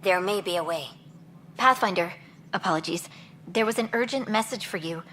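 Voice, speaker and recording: A woman's voice: medium pitch, moderate pace, pushed and bright, slight background noise, close to an everyday voice. Actress as earnest female sci-fi officer. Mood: discreet. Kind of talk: naturalistic video game cutscene acting.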